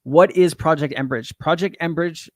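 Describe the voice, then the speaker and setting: A man from California with a hoarse voice, casually explaining crypto payment systems as a channel host and often restarting mid-sentence. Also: a little high, measured pace, no echo. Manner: rambling, restarts, reads from screen. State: hoarse, losing his voice.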